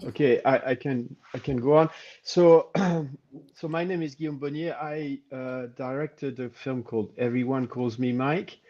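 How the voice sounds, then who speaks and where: A man, a film director speaking hesitantly with many pauses on a panel. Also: mid-range voice, steady tone, a little mellow. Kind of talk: casual panel talk. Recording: dull lo-fi call audio.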